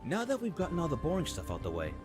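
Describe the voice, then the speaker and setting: A man's voice: on the high side, huge pitch swings, quick pace, over music. A man voicing a composed, courteous male professor who lectures a class formally in a visual novel game.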